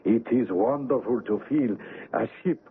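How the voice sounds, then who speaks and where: An actor playing a superstitious, fatalistic Haitian sailor in a radio drama, teasing and portentous. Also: male voice, medium pitch, a little slow, lightly acted. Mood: sly, animated. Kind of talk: old-time radio drama acting. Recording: tinny old radio recording, faint noise.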